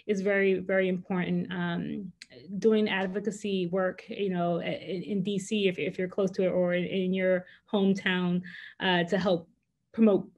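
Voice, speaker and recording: A woman's voice: on the low side, moderate pace. A woman physician who is a native Spanish speaker, sharing practical advice on a panel over a video call from her office. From United States. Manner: practical, animated hand gestures. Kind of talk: panel discussion answer. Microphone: video call, laptop camera.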